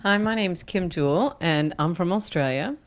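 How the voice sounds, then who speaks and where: A woman from Arkansas, a volunteer practitioner, sharing her story in earnest, warm casual talk and laughing easily. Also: lowish voice, lively intonation, normal speed, a little hiss or hum. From Arkansas, United States. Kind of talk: casual personal testimony.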